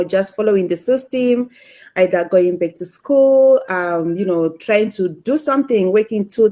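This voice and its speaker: A woman's voice: medium pitch, a little mellow. A woman from Zimbabwe, an entrepreneur, telling her story fluently on a video call with many "you know"s. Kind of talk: self-introduction.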